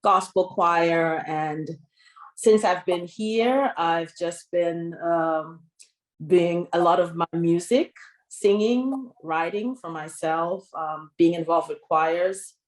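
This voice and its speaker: Brief: slow-talking woman from Montserrat, lowish voice, echoey room, video call. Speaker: singer and songwriter guest. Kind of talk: self-introduction on a panel.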